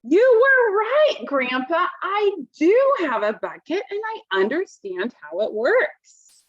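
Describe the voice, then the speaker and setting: A woman voicing a friendly, excited young schoolboy in a picture book. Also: very high voice, takes it slowly, no room sound, lo-fi sound, voice pushed up, heavily acted.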